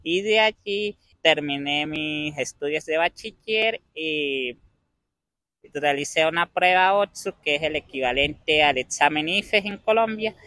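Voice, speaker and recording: A young man from Venezuela with an Andean Venezuelan accent, answering interview questions in a measured way and pausing to find his words. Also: fairly high pitch, sharp tone, no room sound. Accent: Venezuelan Andean Spanish.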